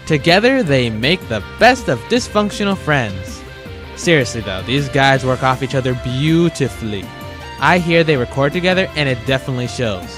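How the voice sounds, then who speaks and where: Man narrating casually over loud music. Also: mid-range voice, very animated, normal speed. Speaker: host of a top-list video. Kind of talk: casual scripted review narration.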